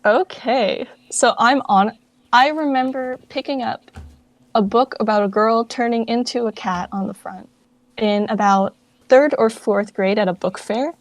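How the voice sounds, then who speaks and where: A woman's voice: on the high side, unhurried, no echo. A young woman speaking as a guest in a casual interview, informal and self-deprecating in the way she talks. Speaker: interview guest, project founder and voice actress.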